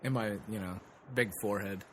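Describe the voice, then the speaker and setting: A man in his thirties joining a podcast chat as a guest co-host over a video call, cracking crude, mocking jokes and laughing loudly. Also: on the low side, fairly even intonation, brisk, background noise. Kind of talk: casual podcast banter.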